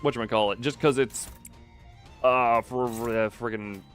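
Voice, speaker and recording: A man's voice: mid-range voice, fairly even intonation, measured pace. A man doing casual livestream game commentary, thinking aloud in a rambling way and often trailing off mid-sentence. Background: game music.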